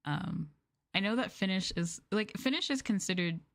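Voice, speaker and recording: A woman's voice: mid-range voice, animated, normal speed, gravelly. A young woman with a Canadian accent chatting casually on a stream, rambling and giggly with lots of "like" and "um", often poking fun at herself. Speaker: VTuber streamer. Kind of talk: casual late-night chat stream.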